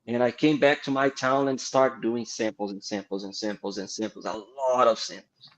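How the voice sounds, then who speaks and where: A middle-aged man from Brazil with a Brazilian accent, a guest artist telling animated, laughing anecdotes in an interview. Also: mid-range voice, moderate pace, highs cut off. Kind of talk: casual storytelling interview.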